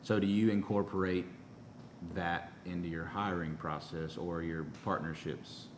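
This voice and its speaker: A man's voice: deep voice, steady tone, a little slow, a little hiss or hum. A man in his forties hosting a casual interview, asking questions and affirming his guest. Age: 40s. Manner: affirming, with frequent short backchannels. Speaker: podcast host.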